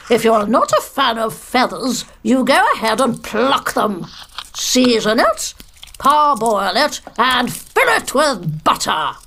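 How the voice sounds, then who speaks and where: A blithe female TV cook with dark cheer, played by an actress. She gives instructions slowly in a fairly high, melodic voice, parody cookery show style. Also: a little hiss or hum, heavily acted. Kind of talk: parody cookery programme, comedy audio drama.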